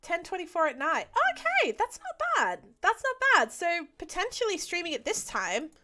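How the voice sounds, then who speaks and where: A woman's voice: very high voice, wildly swooping pitch, moderate pace, bright, forceful tone. A young woman chatting casually while she streams a game, with lots of ums and easy laughs. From Australia. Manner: chatty, many ums and restarts, laughs easily. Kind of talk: casual live-stream chat while playing.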